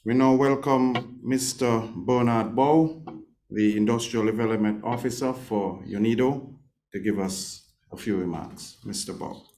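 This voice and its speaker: A man's voice: mid-range voice, unhurried, dark tone, a little hiss or hum. A man chairing a formal meeting, politely thanking one speaker and introducing the next. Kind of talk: formal moderation.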